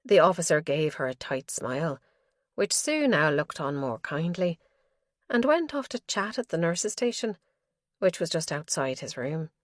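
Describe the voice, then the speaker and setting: A woman's voice: sits low, very animated, measured pace, no echo, clean studio sound. A woman reading scripted narration as the narrator of a mystery audiobook.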